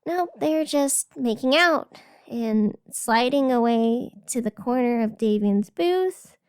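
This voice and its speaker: A very high-pitched, chatty radio host played by a woman reports live in an audio drama podcast. The delivery is informal and frazzled, with an exasperated edge. The sound is dry and close. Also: lively intonation, takes it slowly, smooth, no room sound. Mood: pleading, exasperated. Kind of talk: fiction podcast broadcast narration.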